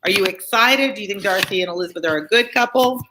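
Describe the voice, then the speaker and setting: A woman's voice: mid-range voice, fast talker, noisy background. A woman tutoring on a live read-along of a classic novel, reading aloud and adding informal explanatory asides for listeners.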